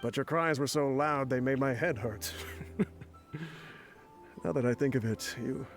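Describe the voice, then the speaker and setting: A man's voice: medium pitch, unhurried, natural voice. A man playing an ailing old mercenary leader in a video game, wry, boastful and fatherly as he voices a letter. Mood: boastful, fond. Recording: game music behind the voice.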